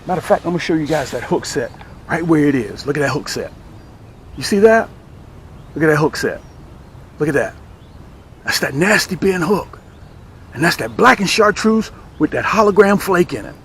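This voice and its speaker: Animated, high-pitched man hosting a fishing vlog. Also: moderate pace, long pauses, slight background noise. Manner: exclamatory, repeats phrases for emphasis. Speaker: fishing show host. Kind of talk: excited fishing vlog chat, demonstrating and selling tackle. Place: outdoors on a fishing boat.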